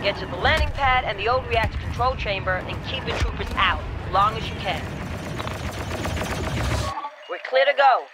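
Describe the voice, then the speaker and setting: An actor playing a gritty, determined resistance squad leader in a video game, briefing in an informal, clipped, urgent manner. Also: male voice, high voice, expressive, moderate pace, long pauses. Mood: urgent, wry. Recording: noisy battle ambience behind.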